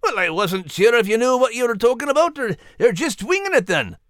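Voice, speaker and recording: An actor voicing a male Scottish terrier dog, gruff but tender and teasing, with a Scottish accent and folksy dialect. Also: mid-range voice, very animated, a little fast, no echo, clean studio sound. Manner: folksy, warm dialect talk. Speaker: podcast co-host character. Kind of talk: scripted character banter.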